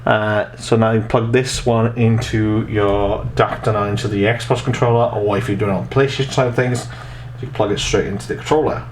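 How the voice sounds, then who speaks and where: Young male tutorial host, low voice, rambling casual explanations, recorded at home with some background noise. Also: steady tone, fairly quick. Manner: rambling, repeats and restarts phrases. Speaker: host of a tech tutorial channel. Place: small room at home, indoors.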